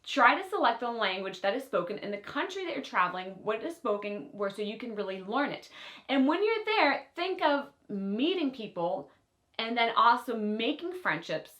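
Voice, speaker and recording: A native French-speaking woman teaches languages to camera in a high, bright voice. Her pitch jumps around a lot as she acts out little imagined questions. She sounds expressive and animated throughout. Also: normal speed. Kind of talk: talking-head language lesson.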